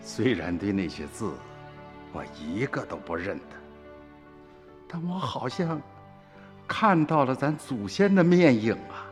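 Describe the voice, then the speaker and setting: Expressive old peasant grandfather. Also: mid-range voice, measured pace, pauses often. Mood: moved. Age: older. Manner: earthy, expressive, colloquial. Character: emotional old peasant grandfather recounting his past. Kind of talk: Chinese radio drama acting and storytelling. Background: music.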